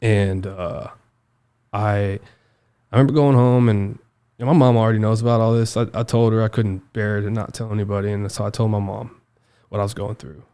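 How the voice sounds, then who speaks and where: The guest across the table on a long-form podcast, a young American guy with a lowish voice, reliving his own past in emphatic, stop-and-start fashion. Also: flat delivery, warm tone, clean tone. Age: 20s. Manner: halting, emphatic, repeats himself, says dude and man often. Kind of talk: personal storytelling in a casual interview.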